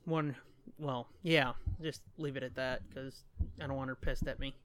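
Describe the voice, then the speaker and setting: A man's voice: mid-range voice, steady tone, moderate pace, a bit bright, slight background noise. A man co-hosting a podcast in casual, rambling and casually profane chat. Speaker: podcast co-host.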